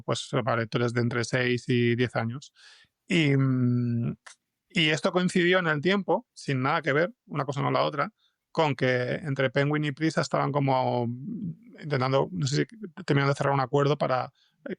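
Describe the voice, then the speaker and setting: A man's voice: mid-range voice, creaky, no echo, clean studio sound. A man with a Spain Spanish accent chatting as an interview guest, hesitant and rambling, often repeating words and stretching fillers. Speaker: guest, fiction podcast scriptwriter and comics artist. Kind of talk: casual interview conversation.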